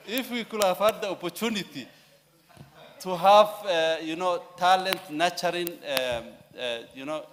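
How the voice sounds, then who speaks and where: A man addressing parliament with an animated, anecdotal floor speech and loud bursts. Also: sits high. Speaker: member of parliament. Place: large parliamentary chamber. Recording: chamber echo with faint hum.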